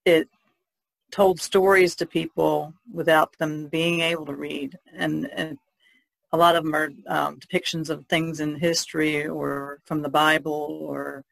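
A woman painter on a podcast panel, talking casually in a reflective, halting way. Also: sits low, vocal fry, no echo, poor audio. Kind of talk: casual discussion.

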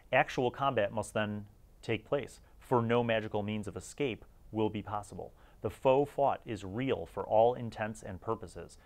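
Low-voiced man reviewing old games. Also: a little mellow. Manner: informal, slangy, chatty asides. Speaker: host of a retro tabletop gaming review series. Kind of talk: casual review with read-aloud magazine excerpts.

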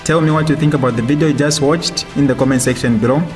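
A man's voice: medium pitch, fairly even intonation, fast. Young Zambian male channel host, upbeat intro and news read, light music bed. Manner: upbeat presenter greeting viewers. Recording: faint music behind.

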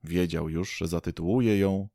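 A male narrator tells a scripted story for a radio play. His voice is low, and the recording is close and dry with no room sound. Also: steady tone, normal speed, creaky, warm tone, no echo.

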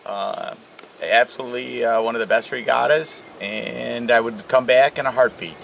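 A middle-aged man talks outdoors among trees and cars, picked up by a camera mic. His voice is gravelly, and he speaks loosely and modestly, leaning on uh between phrases. Faint outdoor ambience sits behind him. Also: mid-range voice, moderate pace, slight background noise. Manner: relaxed, many uh fillers, self-deprecating. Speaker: sailor, regatta leader. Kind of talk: casual interview.

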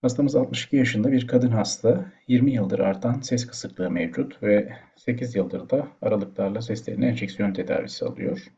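Male medical presenter, explanatory slide narration. Lower-pitched, soft dark tone. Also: moderate pace, vocal fry, hardly any pauses, lo-fi sound. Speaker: doctor presenting patient voice samples.

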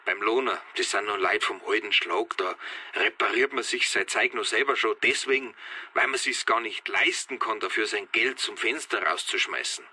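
An actor playing an earnest, defensive farm safety inspector with a Bavarian accent, explaining insistently in a radio drama. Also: male voice, fairly low pitch, normal speed, pushed and bright, breathy edge, full character voice. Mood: reassuring. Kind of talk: naturalistic radio drama dialogue.

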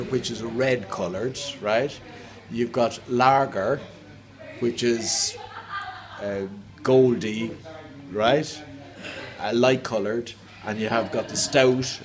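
An older Irish man, a pub regular, explaining the kinds of beer slowly and plainly, recorded on a phone held close in a small pub. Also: medium pitch. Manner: slow, explanatory, plain-spoken. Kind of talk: casual interview. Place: indoors in a small, busy pub. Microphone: phone held close to the face.

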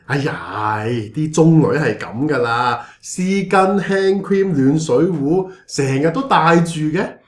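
A man acting a melodramatic preachy pastor, swooping pitch. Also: expressive, slow pace, few pauses, roomy sound. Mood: defensive, preachy. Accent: Hong Kong Cantonese. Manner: theatrical, exclaiming, mock-righteous. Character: preachy, melodramatic, scheming male church pastor. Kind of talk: comedic radio drama acting.

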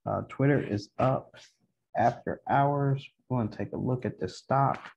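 A low-pitched-to-average man's voice with a soft, dark tone and some huskiness. He presents a casual market update in short, halting phrases. The recording is dull and lo-fi. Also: vocal fry, husky. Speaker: stock video host. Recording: dull, muffled lo-fi home recording.

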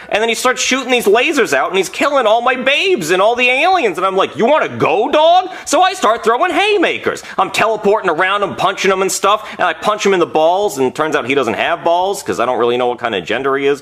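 A man's voice: medium pitch, fairly bright tone. A man playing a goofy, slacker ex-villain in a comedy skit, talking in a casual, rambling, dude-like way. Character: goofy, slacker former villain pleading to be normal.

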